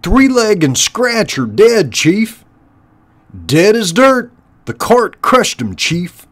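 A man's voice: big pitch swings, slow pace, a bit bright, heavily acted. A man voicing a nervous, cringing rat henchman from a storybook, squawking servile reports to the chief. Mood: anxious reporting. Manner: high-pitched servile squawk, eager to obey. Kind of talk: storybook character voice.

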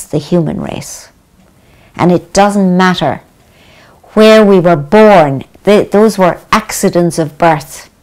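An older Irish woman, a religious sister, talking thoughtfully in a living room interview with many pauses. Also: lowish voice. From Ireland. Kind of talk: reflective conversation.

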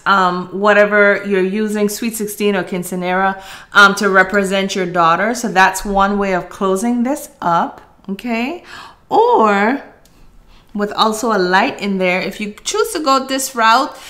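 A woman's voice: medium pitch, sharp tone. A middle-aged woman chatting casually with viewers as she hosts a live craft tutorial from a room at home. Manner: chatty, addressing viewers as guys while working. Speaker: craft livestream host.

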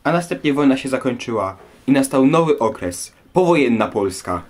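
Male host, average pitch, scripted presenting and questioning for history audio. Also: lively intonation, moderate pace.

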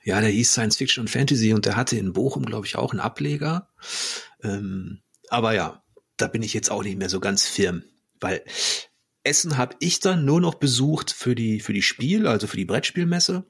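A man in his forties hosting a podcast, asking questions in a relaxed, chatty way with frequent ums. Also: lowish voice, normal speed, creaky, husky. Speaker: podcast host. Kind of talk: casual interview conversation.

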